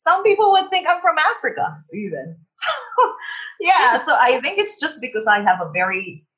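Filipino woman guest, Tagalog native, animated and giggly, lo-fi sound. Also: sits high, normal speed. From Philippines. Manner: animated, giggly, self-assured. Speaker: business coach, guest. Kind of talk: casual interview.

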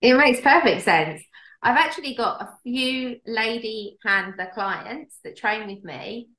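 A woman's voice: a little high, measured pace, a bit bright. A woman co-hosting a podcast, chatting casually with frequent laughs and restarts. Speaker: podcast co-host and dog trainer. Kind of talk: casual chat. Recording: echoey room.

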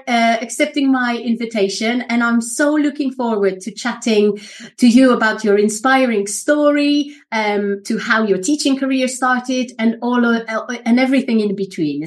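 A woman hosts an interview and draws her guest out with encouraging questions. Her voice sits a little higher than usual, and soft "um" and "mm" fillers keep things friendly. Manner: warm and encouraging, fills with "um" and "mm". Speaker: interview host. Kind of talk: interview hosting.

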